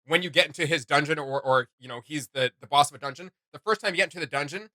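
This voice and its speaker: A man hosting a casual reaction commentary, with animated, joking delivery and rambling asides. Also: a little high, fast, no room sound, clean studio sound. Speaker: reaction show host.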